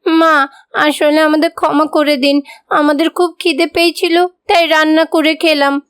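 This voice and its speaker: Casting: young Bengali daughter-in-law for cartoon, woman performer, high-pitched, meek, considerate and politely pleading. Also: little pitch movement, a little slow. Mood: polite, apologetic. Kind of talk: moral-story cartoon voice acting.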